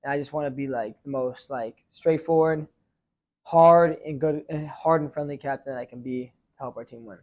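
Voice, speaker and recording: A teenage boy and high school goalie answering interview questions casually, rambling with many fillers and restarts. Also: moderate pace, a little mellow. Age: teens.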